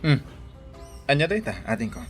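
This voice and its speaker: An actor with a Filipino accent playing a protective, concerned elder brother in a radio drama. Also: male voice, a little high, sing-song, normal speed, faint music behind. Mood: friendly. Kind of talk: Ilocano radio drama acting.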